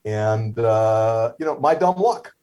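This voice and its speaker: A man's voice: on the low side, expressive, slow, a little mellow. A middle-aged man whose first language is Hebrew, telling a story casually with many fillers, restarts and wry humor. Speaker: guest, stroke survivor.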